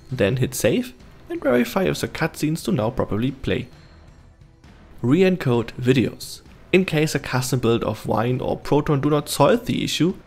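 A man narrates a scripted how-to guide in a gravelly voice. He gives instructions step by step as a tutorial narrator, with light music playing behind him. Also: mid-range voice. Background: background music.

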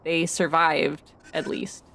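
A woman improvising a comedic game fandub as a blasé young woman who rambles in a casual, deadpan way. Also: low-pitched, takes it slowly, gravelly, exaggerated voice. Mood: philosophical, deadpan. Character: blasé, sardonic young woman, superhero's philosophizing friend.

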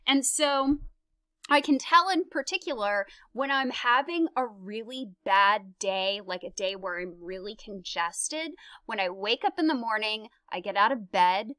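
Bright, chatty woman lecturer. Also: mid-range voice, measured pace, no echo, poor audio. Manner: chatty, self-deprecating, gives hands-on instructions. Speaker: psychology lecturer. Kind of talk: recorded slide lecture. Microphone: close mic, voice-over on slides.

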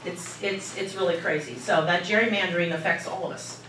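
A woman's voice: on the low side, fairly even intonation, fairly quick, fairly bright tone, slight background noise. An older woman giving chatty, emphatic announcements at a community meeting. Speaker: meeting organizer. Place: large meeting hall indoors. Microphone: handheld mic, recorded from far camera. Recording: echoey hall from far off.

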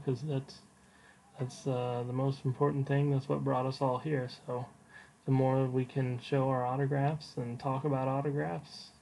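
Mellow, monotone male podcast host. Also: medium pitch, a little hiss or hum, low-quality recording. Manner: informal, unscripted, halting delivery. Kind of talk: casual solo podcast update.

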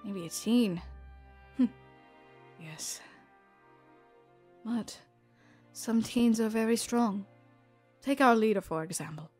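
A woman voicing a thoughtful young adventurer in an anime audio drama, phrasing things deliberately with pauses. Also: fairly high pitch, a little mellow, faint music behind.

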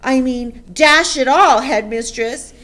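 A woman's voice: sits high, slow pace, fairly bright tone, voice pushed up. A woman voicing a puzzled eleven-year-old schoolboy in a storybook, protesting indignantly.

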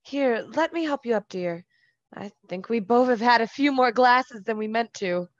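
A woman's voice: fairly high pitch, lively intonation, moderate pace, no echo, muffled recording, natural voice. A woman acting in a radio play as an affectionate, mildly scolding wife and mother. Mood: worried. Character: fond, fussing middle-aged wife and mother.

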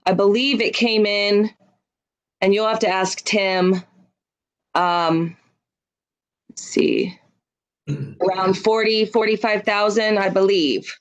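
A woman's voice: mid-range voice, slow, pauses often, rough recording. A woman on staff answering a board member's question on a video call, explaining the figures plainly and helpfully.

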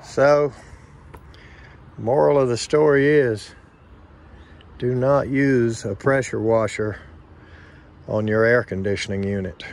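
A man working as an HVAC technician, giving a plain, unhurried on-site explanation outdoors beside air conditioner units, recorded on a handheld phone camera. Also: medium pitch, fairly even intonation, darkish tone. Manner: plain, unhurried, points things out step by step.

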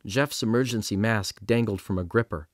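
A man's voice: lowish voice, normal speed, dry recording. A middle-aged man reading scripted storybook narration as an audiobook narrator at a studio microphone. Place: small padded recording studio. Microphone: studio condenser microphone on boom.

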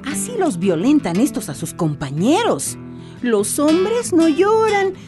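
A feisty older vigilante woman in a radio drama, played by an actress. She mutters to herself slowly, with lively swings of pitch. Music sits behind her. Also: heavily acted. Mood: indignant. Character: feisty older female vigilante eavesdropping on youths.

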